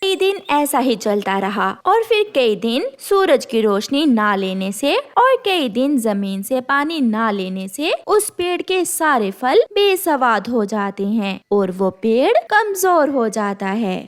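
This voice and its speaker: A woman's voice: fairly high pitch, big pitch swings, takes it slowly, few pauses. A woman storyteller reading scripted narration for a children's cartoon.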